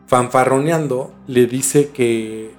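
A man with a Mexican accent in a casual, colloquial podcast conversation, explaining a story in a halting, conversational way. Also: medium pitch, fairly even intonation. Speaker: podcast host telling a case. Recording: music under the voice. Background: background music.

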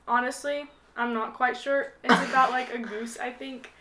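A woman's voice: a little high, fairly even intonation, normal speed, a crowd in the background. A young American woman in her late teens, chatting casually as a podcast guest in a hesitant, rambling way with lots of "like". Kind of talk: casual chat.

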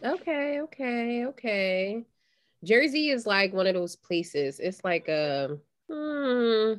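A woman in her thirties co-hosts a relaxed chat podcast. Her voice sits in the middle but moves a lot, rising and falling as she talks. Her banter is slangy and informal. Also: on the high side, takes it slowly. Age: 30s. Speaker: podcast co-host.

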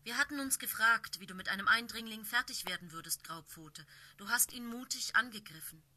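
A woman's voice: on the high side, brisk, sharp tone. A woman voicing a stern she-cat clan leader. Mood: stern, approving. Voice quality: commanding, firm.

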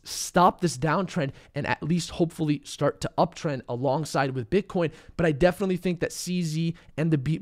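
Slightly hoarse, higher-voiced young man. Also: darkish tone. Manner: talks viewers through charts, confident and direct. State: a bit hoarse, under the weather. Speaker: crypto market commentator. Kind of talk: casual chart analysis.

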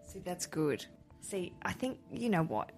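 A woman's voice: on the low side, unhurried, gravelly, faint music behind. A woman with an Australian accent hosting a podcast, chatting casually and joking with a friend. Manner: chatty, jokey and lively. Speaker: podcast host. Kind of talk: casual chat.

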